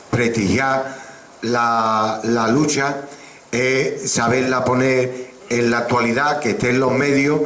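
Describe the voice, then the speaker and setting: A man speaking at a public assembly, deliberate and repeating words as he builds his points. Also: medium pitch, fairly bright tone, roomy sound, slight background noise.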